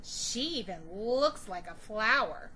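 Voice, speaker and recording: A woman's voice: mid-range voice, lively intonation, bright, forceful tone, slight background noise. A woman voicing a snooty know-it-all little girl in a children's storybook, smugly stretching out her words. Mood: smug, taunting. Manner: emphatic, smug, drawn-out words. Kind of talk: children's storybook read-aloud.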